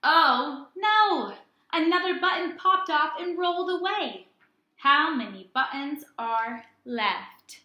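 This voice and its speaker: A woman teacher reading aloud to young children in a deliberate, sing-song way, asking questions and answering them herself. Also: high voice, lively intonation, sharp tone, echoey room, lo-fi sound. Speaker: early-grades teacher.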